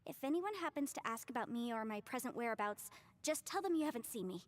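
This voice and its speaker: Woman playing a high-voiced, polite young advisor. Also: animated, quick pace, lightly acted. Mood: flustered, evasive. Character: polite, flustered, secretive young female legal advisor. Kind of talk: anime game voice acting.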